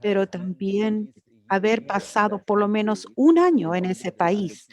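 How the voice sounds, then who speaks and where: Lady doing live interpretation of a lecture, slow and choppy, keeps fixing her words. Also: medium pitch, a little mellow. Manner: halting, with frequent restarts and self-corrections. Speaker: conference interpreter. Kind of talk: simultaneous interpretation of an academic lecture.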